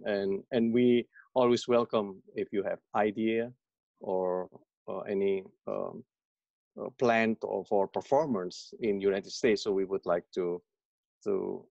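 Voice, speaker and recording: A man in his forties with an Indonesian accent giving informal, halting remarks on a video call. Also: fairly low pitch, vocal fry, a little mellow, dry recording. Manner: halting, hesitant phrasing. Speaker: community organisation representative. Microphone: earphones on a video call. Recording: muffled, dull video-call audio.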